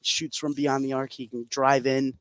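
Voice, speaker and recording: Male sports color commentator, average-pitched voice, offering live basketball analysis from courtside. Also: little pitch movement, normal speed, crowd noise.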